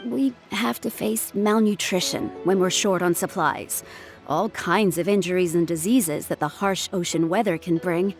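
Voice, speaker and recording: A woman's voice: fairly low pitch, faint music behind, lightly acted. Composed, earnest female ship's surgeon voiced by a woman. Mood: earnest, cautioning. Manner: measured, explanatory, polite. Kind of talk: scripted video game dialogue.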